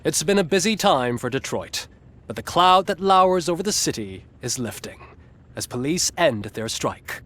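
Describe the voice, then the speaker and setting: Smug upbeat tv newscaster from a video game, kinda high voice, really animated reading. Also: male voice, normal speed. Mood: wry, portentous. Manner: polished, upbeat, smugly ironic. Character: glib satirical male TV news anchor. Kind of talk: scripted satirical newscast narration.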